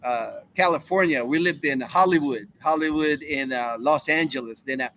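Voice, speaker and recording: A man's voice: a little high, moderate pace, fairly bright tone, a little hiss or hum. A man in his fifties, from the United States, hosting a casual live Q&A stream and rambling through answers to chat questions. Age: 50s. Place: home in the Philippines.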